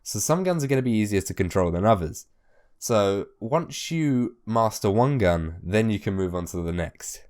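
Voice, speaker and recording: A man hosting a gaming video, a little hoarse as his voice comes back, talking tips in a chatty way and swearing casually. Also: lowish voice, expressive, moderate pace, darkish tone. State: hoarse, recovering from losing his voice. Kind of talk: casual spoken game tips.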